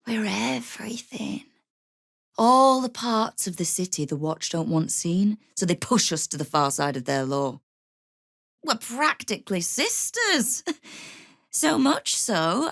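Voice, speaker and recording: A woman's voice: medium pitch, vocal fry, no echo. An actress playing a shrewd, hard-edged female crime guild boss in a video game, with a dry, wry manner and veiled threats. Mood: serious. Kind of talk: scripted video game voice acting.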